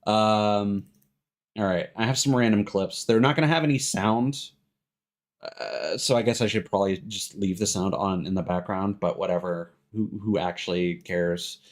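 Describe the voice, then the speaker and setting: A man's voice: on the low side, moderate pace. A man chatting casually as he streams a game, rambling and self-deprecating, with some swearing. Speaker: live streamer. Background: cartoonish upbeat game music.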